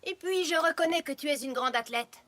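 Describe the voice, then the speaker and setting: A woman's voice: high-pitched, brisk, heavy background noise, close to an everyday voice. A woman playing a hearty, confident female farm pony in a cartoon dub, with a folksy, teasing manner. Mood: gleeful. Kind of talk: animated cartoon dub acting.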